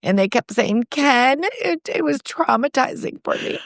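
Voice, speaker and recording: A woman, the mother half of a podcast duo, chatting casually and telling stories with lively restarts and laughs. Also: normal speed, gravelly, dry recording, studio quality. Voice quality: low voice. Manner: animated storytelling, frequent restarts and laughs. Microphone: headphones on, studio-style setup.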